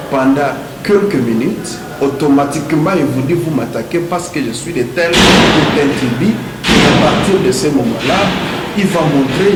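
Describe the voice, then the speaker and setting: Echoey Congolese man arguing emphatically in French. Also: medium pitch. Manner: emphatic, rhetorical, repeats phrases for emphasis. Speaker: debate speaker. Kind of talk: argumentative debate speech. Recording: echoey room with background noise.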